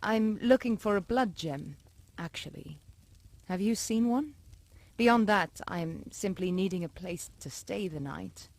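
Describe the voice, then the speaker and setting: Low-voiced, haughty vampire noblewoman. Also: expressive, measured pace, darkish tone, no echo. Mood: poised, inquiring. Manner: formal, ornate phrasing. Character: haughty ancient vampire noblewoman, formal and poised. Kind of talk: video game voice acting.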